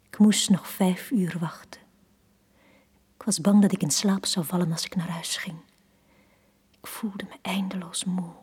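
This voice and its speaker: An actress playing a forlorn, lovesick woman in a radio drama from the 1980s, speaking in a hushed, breathy, poetic manner. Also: fairly low pitch, fairly even intonation. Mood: sorrowful. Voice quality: breathy, whispery. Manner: slow, hushed, poetic delivery. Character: Tanja, forlorn, lovesick woman left on the quay.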